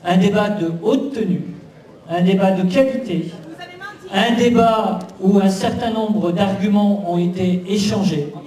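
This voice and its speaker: A man's voice: high voice, warm tone. Distant, echoey man formally addressing a council. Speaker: elected official chairing council meeting. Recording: distant echoey hall with crowd noise.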